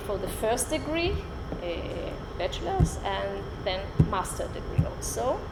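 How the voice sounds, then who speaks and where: A middle-aged Israeli woman, a Hebrew speaker, giving an unprepared guest lecture in English with many "uh" fillers, filmed by a far camera in a lecture room. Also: on the high side. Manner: animated, gestures a lot, many "uh" fillers. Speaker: college lecturer. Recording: roomy hall with background noise.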